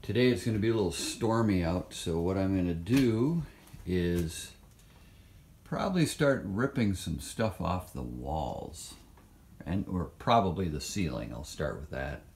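A man with a very low voice talks slowly and offhandedly, thinking aloud as he walks around with his phone. The sound bounces around a bare, hollow room. A faint hiss sits underneath. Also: lively intonation, a little mellow, echoey room. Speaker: vlogger filming a trailer renovation. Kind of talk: casual walkthrough narration. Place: inside an empty old trailer home. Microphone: handheld phone camera.

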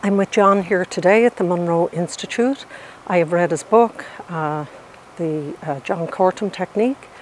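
A woman's voice: fairly low pitch, normal speed, slight background noise. A woman, a registered nurse giving an earnest outdoor testimonial on a lapel mic. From Ireland. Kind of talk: testimonial interview. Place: outdoors on a wooded lawn. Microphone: clip-on lapel mic.

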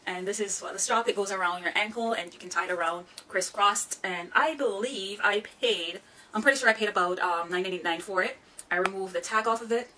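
A Jamaican woman in her thirties, chatting excitedly as she shows off thrifted bags and shoes while sitting on the floor of a small room. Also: medium pitch, brisk, distant mic, slight background noise, low-quality recording. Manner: chatty, excitable, giggly asides. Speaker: fashion vlogger. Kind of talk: casual thrift haul vlog. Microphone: camera mic from a few feet away.

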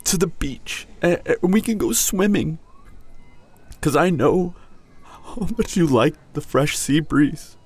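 A man playing a tender, grieving young hunter boyfriend in an intimate, emotional audio drama, halting and husky, often dropping toward a whisper. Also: a little high, lively intonation, a little mellow, full character voice. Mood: hopeful, pleading. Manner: halting, emotional, long pauses. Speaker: audio roleplay voice actor.

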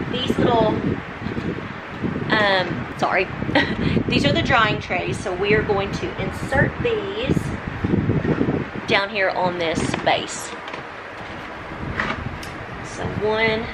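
A middle-aged woman, casually narrating a home vlog in an informal, halting, think-aloud way. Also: mid-range voice, very noisy. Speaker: home vlogger. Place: living room of a home, indoors. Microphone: camera mic on a tripod nearby.

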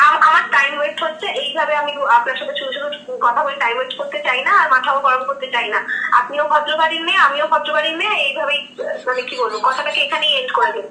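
A young woman speaks quickly in an extremely high voice with a West Bengal Bengali accent. She protests indignantly over a phone call. The sound is echoey, with faint background noise. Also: pushed and bright. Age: young adult. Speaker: prank call recipient. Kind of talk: phone conversation.

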